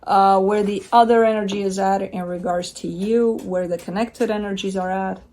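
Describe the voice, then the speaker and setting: A woman's voice: mid-range voice, steady tone, moderate pace, a little mellow. A woman giving a casual tarot reading at home, talking in a chatty, rambling way with blunt asides. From Romania. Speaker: tarot reader. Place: home by a window with a bird feeder.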